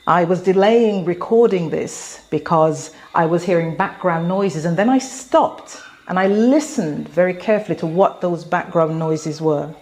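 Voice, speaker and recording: An English-accented woman in her fifties, giving an earnest, measured spoken address to an audience of women. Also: fairly low pitch, a little mellow. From England. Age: 50s. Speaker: motivational speaker.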